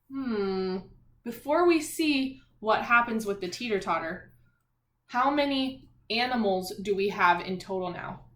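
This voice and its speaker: A woman's voice: mid-range voice, fairly bright tone. A woman elementary teacher leading a read-aloud math lesson for young children, speaking in an encouraging, singsong, questioning way. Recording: echoey room.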